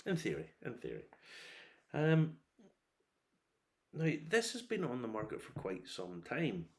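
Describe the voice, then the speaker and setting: A middle-aged man giving a casual, rambling review, opinionated and wry, breaking into animated asides. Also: on the low side. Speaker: whiskey reviewer.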